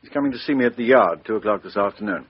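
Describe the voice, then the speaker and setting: Old radio: a businesslike police inspector, husky mid voice, muffled broadcast. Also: male voice, lowish voice, rapid-fire, no echo. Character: brisk middle-aged male police inspector. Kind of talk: 1950s radio drama acting.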